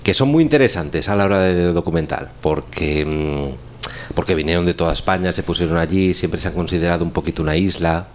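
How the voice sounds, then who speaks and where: A man with a Spanish accent from Spain talks casually as a guest instructor, halting and often digressing or correcting himself. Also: deep voice, a little hiss or hum. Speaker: guest, course instructor and audio documentary maker. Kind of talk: casual round-table talk.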